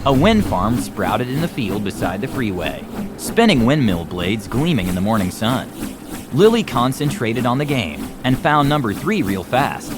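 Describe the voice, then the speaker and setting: A man's voice: medium pitch, sing-song, normal speed, music in the background. A man narrating a children's storybook expressively, as a storytime reader. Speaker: storytime reader, music club teacher.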